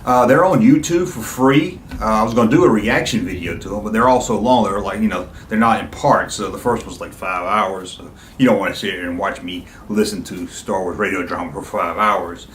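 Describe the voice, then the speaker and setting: A man's voice: fairly low pitch, brisk, reverberant, a little hiss or hum. A middle-aged man talking casually and nostalgically to his viewers, with an enthusiastic, rambling, conversational manner. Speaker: YouTube video host.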